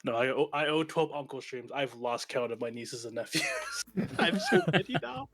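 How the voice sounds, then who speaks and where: A man's voice: medium pitch, lively intonation, moderate pace, loud music behind the voice. A young man with a Vietnamese American accent, chatting casually on a panel call and laughing often as he tells stories about himself. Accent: Vietnamese American English. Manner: laughs often, self-deprecating storyteller. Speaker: VTuber panel guest.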